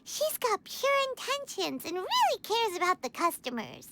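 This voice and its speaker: A woman voicing a tiny, cheeky, excitable female fairy companion in a video game. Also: extremely high pitch, normal speed, very noisy, heavily acted. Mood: cheerful, eager. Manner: speaks of self in third person, chirpy, blunt.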